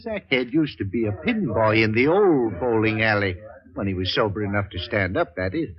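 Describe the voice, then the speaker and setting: A man's voice: lowish voice, lively intonation, normal speed, crowd noise, lightly acted. Man playing a swooping, theatrical barroom sidekick. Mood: musing, insistent. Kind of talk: 1940s radio drama acting. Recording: dull old radio recording, barroom murmur behind.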